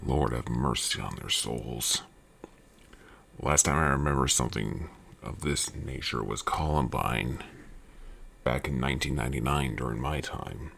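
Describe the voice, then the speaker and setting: A man playing a shaken, halting local news anchor in an acted breaking news report, with a gravelly voice. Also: rumbling low, fairly bright tone, exaggerated voice. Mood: stunned, grieving. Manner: halting, stammering.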